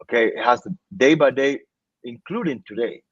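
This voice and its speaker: A man from Cuba with a Cuban Spanish accent, a native Spanish speaker, speaking as an interview guest in earnest, halting English and often repeating himself. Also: mid-range voice, breathy edge, no room sound. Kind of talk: casual interview talk. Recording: dull, dry sound.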